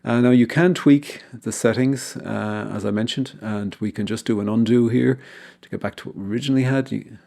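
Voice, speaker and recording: A man narrating an informal software tutorial as its host, explaining things step by step. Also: mid-range voice, steady tone, fairly quick, creaky, hardly any pauses.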